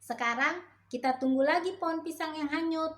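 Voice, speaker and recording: A woman's voice: high voice, normal speed, fairly bright tone, voice pushed up. A woman voicing a sly, greedy male monkey who sweet-talks his friend in a children's story. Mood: eager. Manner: sweet-talking, coaxing.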